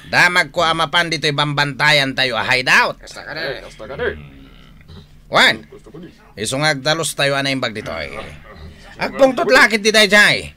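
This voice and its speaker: A man's voice: on the high side, full character voice. A man playing an aggressive, urgent gang henchman in a radio drama, with an Ilocano accent. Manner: forceful, urgent. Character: aggressive armed henchman guarding a gang hideout. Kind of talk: Filipino radio drama acting.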